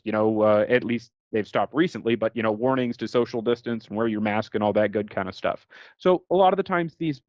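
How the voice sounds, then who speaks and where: Male technical trainer, American, mid-pitched, conversational slide-course delivery on a dry, close, slightly lo-fi recording. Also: a little mellow, dry recording. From United States. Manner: conversational, colloquial, explains with everyday examples. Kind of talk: informal explanatory slide lecture.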